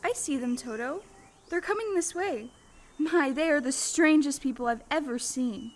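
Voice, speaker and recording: A woman's voice: sits high, moderate pace, close to an everyday voice. A woman playing an earnest, bewildered young farm girl in an audio play, speaking with breathless, exclamatory wonder. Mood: hushed wonder. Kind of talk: stage-style audio play acting.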